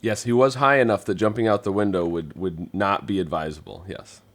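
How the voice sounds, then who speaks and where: A man chatting casually as a puzzle master, answering in a measured, hesitant way. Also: low-pitched, fairly even intonation. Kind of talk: casual chat, giving clues.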